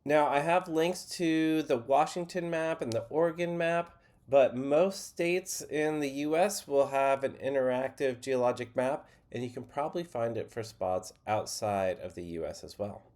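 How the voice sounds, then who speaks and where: A man teaching a college geology lesson over a screen recording. He has a stuffy nose and sounds a little nasal, and he explains things in a relaxed, plainspoken way. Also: medium pitch, moderate pace, hardly any pauses. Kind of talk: screen-share lecture.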